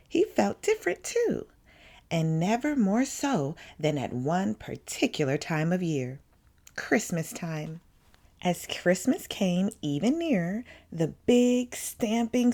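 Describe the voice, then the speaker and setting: A woman narrates a children's picture book as a story time reader. Her pitch moves in lively swings, and she takes her time, leaning dramatically on key words. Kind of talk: expressive children's picture-book read-aloud.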